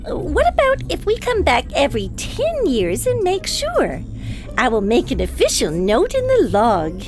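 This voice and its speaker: A woman's voice: high-pitched, wildly swooping pitch, measured pace, soft background music, full character voice. A woman playing a meek, dutiful junior spaceship crew member in an audio drama, hesitant and deferential. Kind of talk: scripted audio drama acting.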